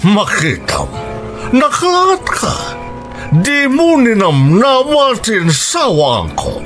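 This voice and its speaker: A man's voice: very high-pitched, huge pitch swings, slow, fairly bright tone, soft background music, heavily acted. A furious rich father. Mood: angry scolding. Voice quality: scornful, scolding. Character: wealthy stern father, furious at his daughter. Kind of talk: radio drama acting.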